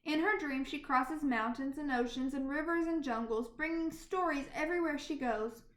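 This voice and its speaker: High-voiced woman reading a storybook, roomy sound. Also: moderate pace. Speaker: storybook reader. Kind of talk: expressive read-aloud of a children's picture book.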